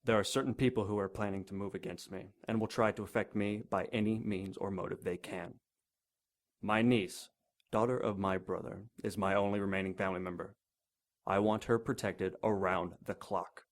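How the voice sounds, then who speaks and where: A man's voice: lowish voice, steady tone, moderate pace, natural voice. A man playing an arrogant, wealthy older industrialist in an audio drama, clipped and imperious. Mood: haughty. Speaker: client.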